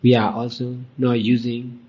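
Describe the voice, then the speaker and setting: A man teaching as a Buddhist monk, leading a guided meditation in deliberate short phrases with long pauses. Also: mid-range voice, monotone, warm tone, breathy edge. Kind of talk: guided meditation dhamma talk. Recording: dull, muffled lo-fi recording.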